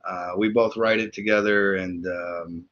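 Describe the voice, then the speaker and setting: A man, a voice actor and co-creator, talking casually and haltingly on a video call. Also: deep voice, fairly even intonation, fairly bright tone. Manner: halting, filler-heavy. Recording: dull video-call audio.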